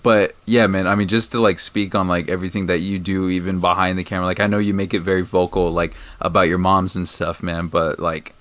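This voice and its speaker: A young married man with a very deep voice, chatting in a laid-back, slangy way full of "man" and "bro". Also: level tone, a little fast, hardly any pauses, a bit bright, dry recording, muffled recording. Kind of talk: casual podcast chat.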